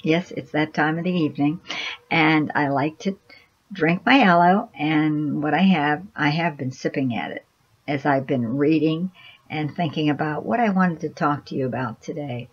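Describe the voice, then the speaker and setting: A woman's voice: low-pitched, normal speed, slightly rough, lo-fi sound. A woman vlogger telling a personal story in a casual, rambling way, with frequent restarts. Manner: chatty, rambling, many restarts and laughs.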